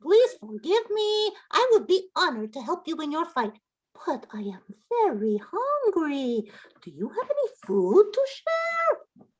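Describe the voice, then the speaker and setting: A woman's voice: very high voice, wildly swooping pitch, unhurried, heavily acted, poor audio. A woman playing a polite, eager pheasant in a dramatic folktale reading, with exclamatory delivery. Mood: humble, pleading. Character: polite, eager pheasant companion with a sharp beak.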